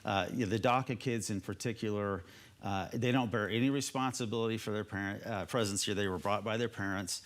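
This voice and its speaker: An American man giving conversational political commentary as a panelist, explaining things in an informal, measured way. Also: fairly low pitch.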